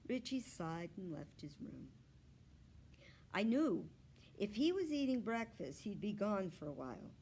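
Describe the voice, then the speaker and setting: Unhurried, expressive woman reading a story. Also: mid-range voice, breathy edge. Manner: expressive, deliberate narration. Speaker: library storyteller reading aloud. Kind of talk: children's story read-aloud.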